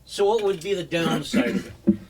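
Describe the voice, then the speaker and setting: Playful male presenter, slightly high voice, very animated intonation, casual delivery. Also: normal speed, very noisy. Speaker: presenter and interviewer. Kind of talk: casual presenting with dry quips.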